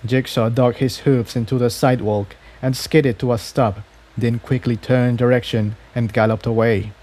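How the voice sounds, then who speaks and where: A man's voice: medium pitch, level tone, normal speed, a little mellow. A man narrating a scripted story aloud, occasionally stumbling and repeating phrases as he reads. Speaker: fan fiction reader.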